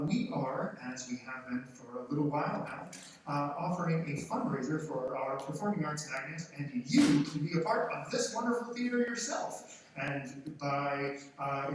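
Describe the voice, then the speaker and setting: A man who is a theater teacher and director, giving an informal, somewhat halting welcome speech to an audience. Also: on the high side. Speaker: theater teacher and show director introducing a play. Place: large theater stage before an audience. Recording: very echoey auditorium, far off, faint noise.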